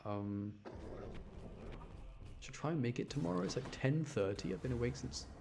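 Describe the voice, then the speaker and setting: A man's voice: medium pitch, lively intonation, moderate pace, creaky, noisy background. A man giving casual, rambling live commentary while he plays a video game, chatting informally and muttering to himself. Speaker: streamer playing a video game. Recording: music playing behind. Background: video game music.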